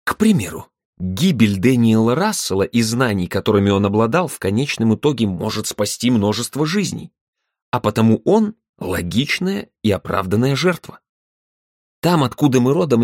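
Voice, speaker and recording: A man narrating a literary first-person story in a measured, deliberate way, with dramatic pauses. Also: fairly low pitch, no echo, studio quality. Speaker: audiobook narrator.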